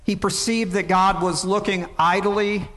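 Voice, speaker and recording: An older man, a pastor, preaching a sermon and telling a story in an earnest, gentle way. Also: high voice, fairly even intonation, measured pace. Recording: reverberant church sanctuary.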